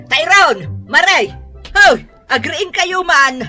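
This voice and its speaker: High, piercing scolding mother. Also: animated, slow pace, sharp tone, soft background music, heavily acted. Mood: exasperated. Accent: Ilocano. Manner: nagging, emphatic scolding. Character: scolding, exasperated mother and wife. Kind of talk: Ilocano radio drama acting.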